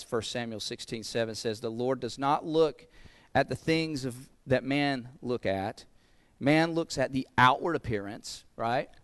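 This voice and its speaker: Earnest Southern pastor, dry sound. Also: male voice, medium pitch, clean tone, dry recording. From American South. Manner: earnest, repeats words while building points. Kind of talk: sermon.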